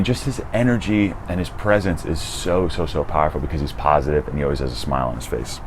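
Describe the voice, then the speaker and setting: A young man with a very low, deep voice talks straight to the viewer, lively and loose, throwing in "dude" and the odd swear word. He sounds outdoors, with faint traffic and city noise behind him. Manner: energetic, swears casually, says "dude" and "right?" often. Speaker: dating coach. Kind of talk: casual direct-to-camera advice.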